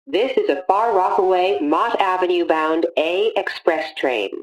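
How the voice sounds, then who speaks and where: A woman reading scripted automated subway announcements in a formal, measured, phrase-by-phrase manner. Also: fairly low pitch, faint music behind, low-quality recording.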